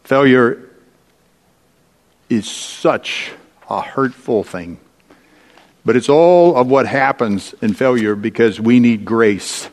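A male preacher delivers a sermon in a large hall with long reverb. His pitch sits in the middle range for a man. He tells scripture as a story, deliberately, pausing for effect and putting questions to his listeners. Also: darkish tone. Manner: deliberate storytelling, dramatic pauses and rhetorical questions. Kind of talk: sermon retelling and explaining Bible stories.

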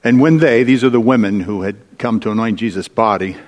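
Male preacher in a reverberant hall. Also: on the low side. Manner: deliberate storytelling, dramatic pauses and rhetorical questions. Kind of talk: sermon retelling and explaining Bible stories. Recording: echoey large hall with long reverb.

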